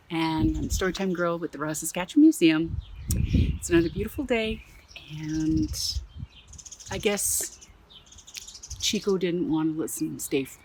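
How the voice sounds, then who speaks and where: A woman from Canada reading a children's picture book aloud in her backyard for a museum, playful and expressive, with exclaimed asides. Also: on the low side, background noise. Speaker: museum storyteller. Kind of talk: children's storybook read-aloud. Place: own backyard.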